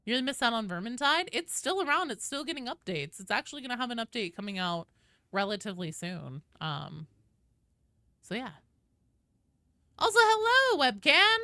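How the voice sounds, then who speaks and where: A woman's voice: fairly high pitch, animated, brisk, bright, forceful tone, dry recording. A woman hosting a casual game livestream, chatting informally and explaining things at length as she talks directly to viewers. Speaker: video game streamer, host.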